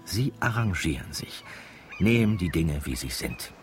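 An actor playing a wry, easygoing narrator of village life in a radio drama, telling it in an unhurried way. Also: male voice, deep voice, sing-song, music in the background. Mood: wry, musing.

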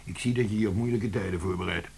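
An actor playing a dry, rueful middle-aged publishing director in a Dutch radio play, deliberate and slightly pompous. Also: male voice, fairly low pitch, darkish tone, husky. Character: dry, rueful older publishing director, mock-heroic grumbler.

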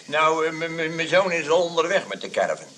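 Boastful, bright-voiced old father. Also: on the high side, sing-song, a little fast. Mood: hesitant, bluffing. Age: older. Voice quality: hesitant, repeats himself. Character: boastful, bluffing old father posing as a lawyer. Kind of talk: comic radio play acting. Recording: faint noise, old radio play recording.

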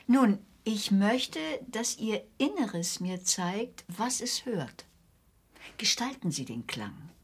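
An actress playing a demanding female teacher in a radio drama, husky and raspy, speaking in deliberate, insistent questions. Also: mid-range voice, very animated, exaggerated voice. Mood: demanding, intense. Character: probing, commanding female movement teacher at an art school.

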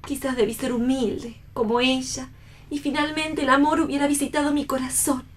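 Radio drama: actress as elegant, disillusioned young woman, high-pitched, melancholy, theatrical. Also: fairly even intonation, moderate pace, a little hiss or hum, close to an everyday voice. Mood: resigned, regretful.

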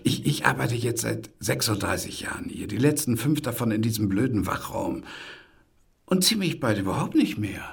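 An actor playing an older male security guard near retirement in a radio drama, husky and gravelly, stumbling over his word starts. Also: mid-range voice, sing-song, normal speed, exaggerated voice. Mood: wistful, hesitant. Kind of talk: naturalistic radio drama acting.

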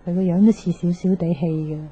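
A woman's voice: on the low side, steady tone, fairly quick, dark tone, breathy edge, faint music behind. An actress with a Hong Kong Cantonese accent playing a sassy, nosy, teasing young woman in a radio drama. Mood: teasing, blunt. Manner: teasing, blunt. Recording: dull, noisy radio drama recording.

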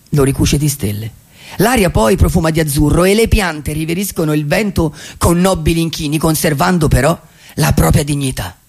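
Dreamy drifter poet, highish creaky male voice, radio play monologue, halting and repeating stuff. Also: a little mellow. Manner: halting, repeats words and phrases reflectively. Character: dreamy male vagabond poet calling himself nobody. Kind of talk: poetic theatrical monologue for radio theatre.

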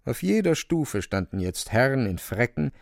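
A man's voice: medium pitch, very animated, normal speed, gravelly, no echo. A man narrating a literary radio play, telling the scene as a scripted story.

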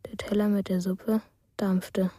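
An actress narrating a dreamlike first-person night tale in a radio play, in a hushed, sparse, measured way. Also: female voice, medium pitch, flat delivery, husky, no echo, clean studio sound.

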